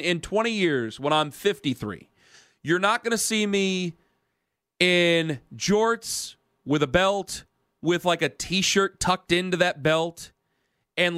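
A man's voice: on the high side, sharp tone, no echo, clean studio sound. A man in his thirties hosting a radio sports talk show, rambling in casual banter with self-mocking asides. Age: 30s. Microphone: studio mic.

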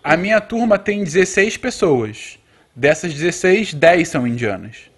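Brazilian male guest, casual chat, animated slightly high voice, halting delivery. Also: breathy edge. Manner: explanatory, many hesitations. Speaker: podcast guest.

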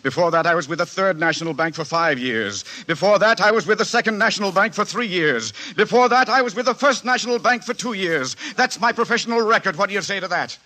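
An actor playing a pompous American banker in 1940s radio comedy style, with a declamatory manner. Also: male voice, sits high, lively intonation, quick pace, hardly any pauses, bright, forceful tone. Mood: pompous, indignant. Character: pompous, indignant American banker with a generous streak.

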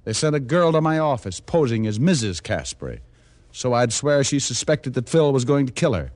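An actor playing a wisecracking, world-weary private detective in an old radio drama, with a wry, deadpan manner. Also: male voice, medium pitch, normal speed, no echo, lightly acted. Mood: insistent, sardonic. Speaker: actor narrating and playing the lead. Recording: vintage radio broadcast recording.

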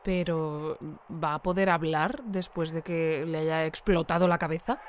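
An actress with a Spain accent playing an earnest, polite news bulletin presenter in an audio fiction mock newscast. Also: female voice, low-pitched, fairly even intonation, a little slow. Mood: puzzled, concerned. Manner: polite, hesitant when questioning.

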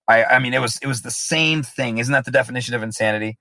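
A man's voice: mid-range voice, fast, creaky, bright, forceful tone, no echo. A man as an interview guest, telling his own story in a candid, reflective, plain-spoken way. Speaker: guest in recovery.

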